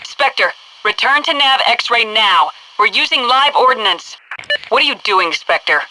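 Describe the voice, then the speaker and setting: An actress voicing a stern, brisk military headquarters instructor in a video game, giving clipped, commanding tutorial orders over a noisy radio-like transmission. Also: female voice, fairly high pitch, animated. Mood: stern, impatient.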